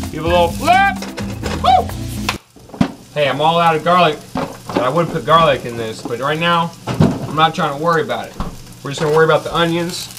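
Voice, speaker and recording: A young man in his twenties, brash and boastful, hosting a comedic cooking show from a small kitchen. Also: on the high side. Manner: brash, boastful, loud whoops.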